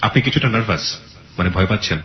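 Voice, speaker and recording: A man's voice: fairly low pitch, fast talker, echoey room, dull audio, a crowd in the background. A male radio host interviewing a guest, probing and repeating her answers back.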